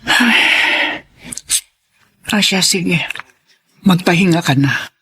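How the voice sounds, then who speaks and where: A woman's voice: fairly low pitch, animated, takes it slowly, sharp tone, slightly rough, heavily acted. A woman playing a caring older mother who teases her grown children wryly in a Tagalog radio drama. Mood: concerned.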